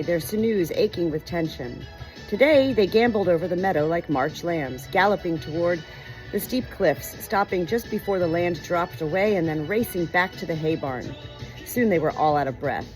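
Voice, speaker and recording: Expressive lower-voiced woman reading a storybook. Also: rough recording. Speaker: audiobook reader. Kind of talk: storybook narration, read aloud.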